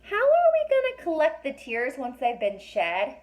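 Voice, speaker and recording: A woman voicing an eager, cheerful young girl from a storybook in an earnest, bright way. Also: high-pitched, wildly swooping pitch, moderate pace, voice pushed up.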